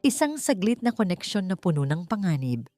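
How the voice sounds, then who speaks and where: A woman narrating a scripted story in a dramatic, suspenseful style, with deliberate short emphatic phrases. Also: lowish voice, very animated, warm tone. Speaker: audiobook narrator. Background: background music.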